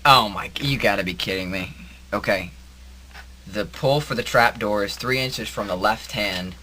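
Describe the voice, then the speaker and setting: A man's voice: fairly low pitch, normal speed, sharp tone. A man playing a hapless, polite everyman superhero in an amateur audio comedy drama, mild and given to stammering. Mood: flustered.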